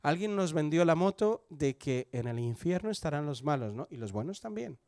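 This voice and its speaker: A man's voice: a little high, very animated, normal speed, mellow, no room sound, clean studio sound. A man in his forties from Colombia, with a Colombian accent, giving his testimony at a church service in a conversational way, often asking the listeners questions. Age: 40s. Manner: conversational, asks the audience questions.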